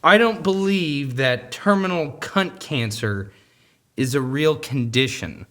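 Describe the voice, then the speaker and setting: A man playing an excitable, hot-headed, foul-mouthed character in comedy audio sketches, with an emphatic, dramatic delivery. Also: fairly high pitch, slow pace. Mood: distraught, disbelieving.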